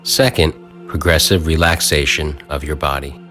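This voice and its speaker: A man leading a guided meditation, giving calm, soft instructions and counting breaths with long pauses. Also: extremely low pitch. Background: faint new-age music.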